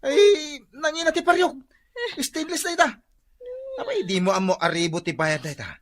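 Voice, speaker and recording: A man's voice: very high voice, sing-song, normal speed. A man playing a cheeky, panicky young husband who yelps and pleads in a comic radio drama. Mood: panicky pleading. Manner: yelping, exclaiming, pleading. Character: cheeky, panicky young husband dodging his wife's fury.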